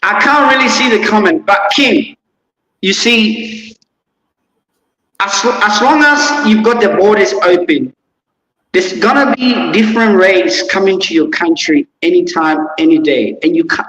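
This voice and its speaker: A man's voice: sits high, lively intonation, unhurried, a bit bright, slight background noise. A man joining a livestream as a guest, speaking earnestly and repeating his points. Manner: repetitive, earnest, restarts often. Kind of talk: casual livestream discussion. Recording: echoey remote call from far off.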